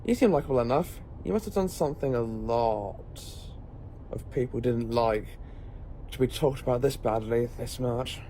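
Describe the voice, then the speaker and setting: A man acts an eccentric, brisk professor who travels through time, in an audio drama. His voice is soft-edged and mellow at a middling pitch. He muses in halting phrases and gives orders with authority. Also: a little hiss or hum, natural voice, poor audio. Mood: probing.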